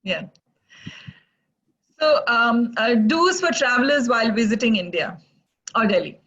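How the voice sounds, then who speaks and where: A woman's voice: a little high, expressive, measured pace, fairly bright tone, highs cut off. A woman interviewer asking short, polite questions. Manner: brief, polite prompts.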